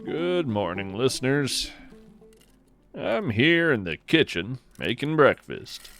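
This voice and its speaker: Unhurried man musing, lively intonation. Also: mid-range voice, music in the background. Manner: folksy, musing, poetic, deliberate phrasing. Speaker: podcast host. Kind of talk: scripted first-person narration in a fiction nature podcast.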